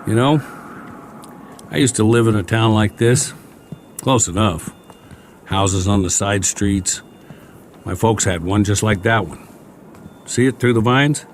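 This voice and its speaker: An actor playing a chatty, wry American soldier in a radio drama, talking in a folksy, rambling, joking way. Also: male voice, lowish voice, unhurried, pauses often, a little hiss or hum, natural voice. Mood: nostalgic. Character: chatty, wry, friendly American soldier, lonely war survivor.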